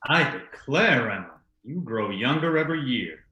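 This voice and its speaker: An actor playing a mocking, reckless brother in an old-time radio gothic drama. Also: male voice, mid-range voice, expressive, normal speed, sharp tone, roomy sound, lo-fi sound. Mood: falsely jovial.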